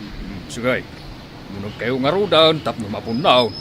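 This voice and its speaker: A man's voice: mid-range voice, lively intonation, unhurried, close to an everyday voice. Man acting an obliging driver amid traffic noise. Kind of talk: audio drama acting. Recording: loud vehicle and street noise.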